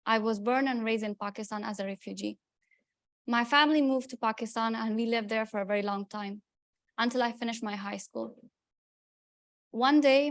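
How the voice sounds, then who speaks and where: A young woman from Afghanistan with an Afghan accent, giving an earnest, measured personal speech to an audience. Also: fairly high pitch, dry recording, clean studio sound. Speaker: student speaker.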